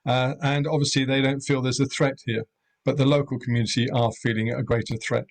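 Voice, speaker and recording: A middle-aged man from Europe who runs a rural guesthouse, speaking on a panel in a measured, hesitant way with many ums. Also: mid-range voice, flat delivery. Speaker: rural accommodation owner. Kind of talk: webinar panel talk.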